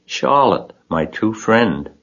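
A man's voice: low voice, big pitch swings, moderate pace, vocal fry, highs cut off, full character voice. A man voicing an emotional young male pig in a storybook, urgent, pleading and exclamatory. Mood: anguished. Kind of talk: storybook character voice.

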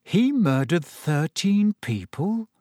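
A man's voice: high voice, sing-song, slow pace, dark tone, dry recording, studio quality, voice pushed up, exaggerated voice. A man voicing a polite, curious boy, hesitant and apologetic as he asks questions. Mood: surprised.

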